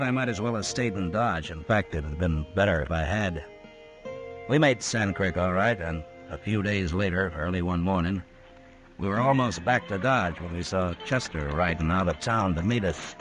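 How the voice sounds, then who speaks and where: An actor playing a stern, watchful Old West marshal in a radio western, speaking tersely and dryly with an American accent. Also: male voice, low-pitched. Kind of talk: old-time radio western acting and narration.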